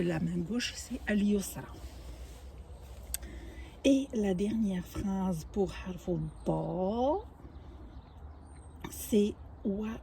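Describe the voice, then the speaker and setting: A woman's voice: medium pitch, mellow, slight background noise. An older woman whose first language is Arabic, teaching a patient language lesson and explaining each word with small restarts. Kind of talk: home-made language lesson.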